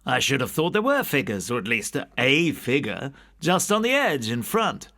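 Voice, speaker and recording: A genial, opinionated male professor in a storybook ghost tale, voiced by a male narrator. He chats casually and with measure, in a middle pitch with lively rises and falls. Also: moderate pace. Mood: mildly appreciative.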